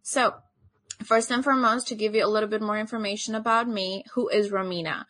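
A woman's voice: medium pitch, steady tone, brisk, fairly bright tone, no room sound. A young woman from Albania, speaking, gives an earnest online conference presentation as a podcast host, often saying "actually" and "you know.".

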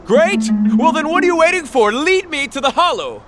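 A man's voice: extremely high pitch, lively intonation, moderate pace, over loud music. A man playing a proud, suspicious young prince in a fiction podcast, pompous, curt and commanding, speaking formally.

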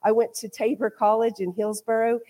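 Higher-voiced American woman preaching. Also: little pitch movement, brisk, warm tone. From Oklahoma, United States. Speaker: visiting preacher and missionary. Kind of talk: informal sermon with personal testimony. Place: speaking to a congregation at a church.

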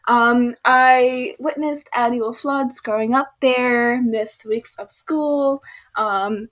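A woman's voice: a little high, steady tone, slow pace, a bit bright. A young adult woman from Bangladesh, speaking as an activist guest and giving casual interview answers. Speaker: guest, youth climate activist.

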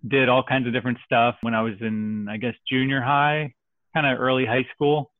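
A man from Texas speaking as a real estate investor guest on a podcast, relaxed and joking. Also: medium pitch, moderate pace, dry recording, phone-quality sound. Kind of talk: podcast interview.

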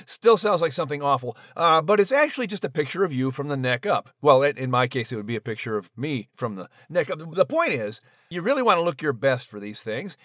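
A man's voice: a little high, sing-song, brisk, fairly bright tone, no room sound, studio quality. A man with an American accent narrating and hosting a scripted comedy podcast in a chatty, rambling, playful way. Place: studio.

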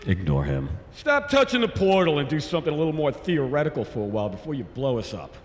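Fretful lab boss, lively pitch. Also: male voice, fast. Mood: anxious, exasperated. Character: anxious, exasperated male boss of a science team. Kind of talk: audio drama acting. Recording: echoey reverberant lab, faint noise.

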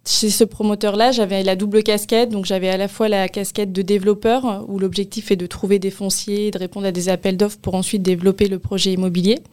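A woman's voice: medium pitch, flat delivery, a little fast, few pauses, darkish tone. A young woman from the Paris region of France answering interview questions in an explanatory way, with frequent hesitations. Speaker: interview guest, senior property programme manager.

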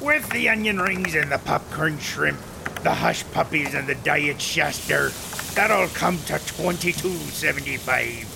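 A very gravelly pirate cook grumbles and scolds in salty pirate-speak, pitched a bit high. It is comic audio drama, with clear background noise behind him. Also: male voice, animated, a little slow, heavily acted. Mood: gruff, businesslike. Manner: blustering, scolding. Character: grumpy pirate seafood cook, scolding his customers. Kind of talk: comedy audio drama acting.

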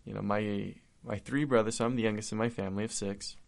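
Young man, from Argentina, earnest, low-pitched. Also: fairly even intonation, vocal fry. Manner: earnest, heartfelt, halting with restarts. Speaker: podcast guest. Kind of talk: casual conversation.

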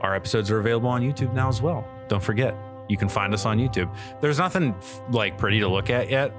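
A man's voice: medium pitch, fast, over music. A man co-hosting a podcast in a casual, informal chatty style. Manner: informal, frequently swearing. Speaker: podcast co-host.

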